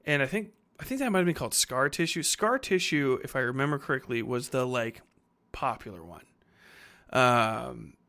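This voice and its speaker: Bright, clear-voiced man rambling casually. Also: medium pitch, lively intonation, moderate pace. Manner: rambling, conversational, profane. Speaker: podcast host. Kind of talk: casual book discussion.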